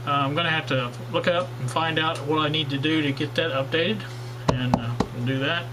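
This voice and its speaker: A man's voice: on the high side, fairly even intonation, fairly quick, music in the background, rough recording. A man hosting a casual workshop vlog on old radios, talking in a rambling way with many fillers and restarts. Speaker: radio hobbyist channel host.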